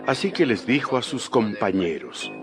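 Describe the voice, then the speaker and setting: A man with a low voice narrates scripture in a dramatized style. His intonation moves widely as he reads the scripted story, and music plays clearly behind him. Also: very animated, normal speed.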